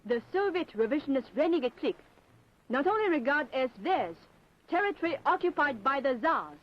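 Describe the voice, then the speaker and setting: A woman's voice: high-pitched, very animated, moderate pace. A woman narrating a scripted propaganda documentary in a formal, emphatic, declamatory style. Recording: dull 1960s film soundtrack.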